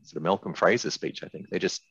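Low-pitched older man presenting. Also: fast, a bit bright, slightly rough. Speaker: software company presenter. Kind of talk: webinar product presentation, explanatory. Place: indoors, small room on video call. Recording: slightly dull, roomy webinar audio.

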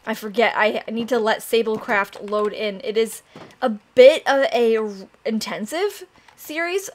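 A young woman hosting a Minecraft let's play, chatting excitedly to viewers while her voice is raspy from allergies and she keeps clearing her throat. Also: on the high side, a little slow. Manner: chatty, excitable, addresses "you guys" often. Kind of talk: casual gameplay commentary.

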